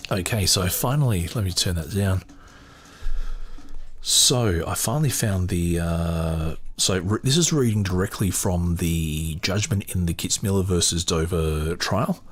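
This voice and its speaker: A man's voice: low voice, unhurried, breathy edge. A man hosting a podcast, reading aloud and commenting off the cuff in an opinionated, emphatic way. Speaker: podcast host.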